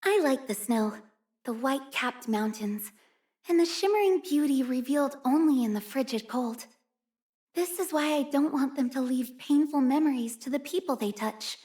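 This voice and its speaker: A woman plays a young female mountain rescuer from a video game. Her voice is high and girlish, and she comes across as gentle and sincere. She speaks politely, with real care for the people around her. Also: normal speed, lightly acted. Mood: earnest, wistful. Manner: earnest, caring, polite. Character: earnest, gentle young female snow-rescue team member. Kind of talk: video game character voice lines.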